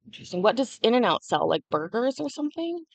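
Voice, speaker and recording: A woman's voice: a little high, very animated, fast talker, vocal fry, dry recording. Woman, young adult, livestream host, chatty, casual, dry sound. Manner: chatty, reads and answers chat comments.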